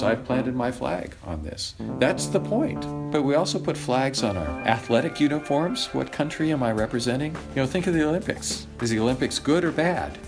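A man answering interview questions with emphatic, rhetorical explanations. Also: mid-range voice. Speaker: flag expert guest. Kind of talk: lively explanatory interview talk.

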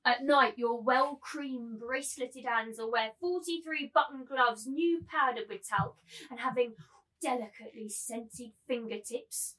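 A woman's voice: a little high, measured pace, fairly bright tone, rough recording. A woman playing a cruel, domineering brothel keeper and auctioneer in a dramatised literary reading, commanding, taunting and theatrical.

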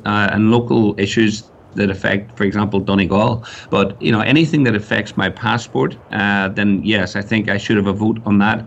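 A man's voice: on the low side, gravelly, dull audio. A man speaking as an election candidate on a radio panel, loose and conversational, with many fillers.

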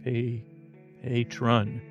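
Creaky-voiced man rambling for a bedtime podcast. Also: mid-range voice, completely flat delivery, lo-fi sound, faint music behind. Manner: meandering, halting, self-interrupting, soothing intent with drawn-out words. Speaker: podcast host.

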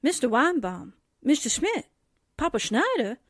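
A woman playing a high-pitched, earnest young girl. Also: sing-song, measured pace, breathy edge, exaggerated voice. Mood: flustered. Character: earnest, pleading little girl, friend of the family. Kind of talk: 1940s radio drama acting.

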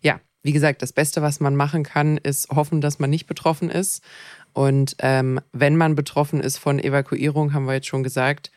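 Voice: mid-range voice, little pitch movement, moderate pace, no room sound, studio quality. A podcast co-host chatting informally and talkatively, with a joking streak. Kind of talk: casual podcast chat.

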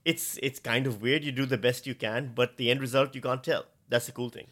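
Bright-voiced man, wry podcast guest. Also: medium pitch, steady tone, a little fast. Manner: wry, joking. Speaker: podcast guest, audio drama writer. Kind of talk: casual chat.

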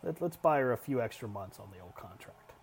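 A man's voice: mid-range voice, lively intonation, a little fast, creaky. A man giving jokey, casual live commentary as he watches a wrestling match. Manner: jokey, frequently chuckling.

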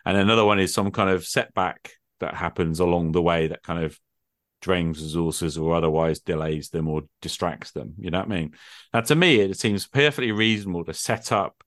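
Middle-aged guy, deep-ish low voice, dry sound, chatting and explaining, keeps asking if you follow. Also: a bit bright, dry recording. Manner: explanatory, checks in with tag questions. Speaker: podcast host and game master. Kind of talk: extended casual discussion of tabletop gaming.

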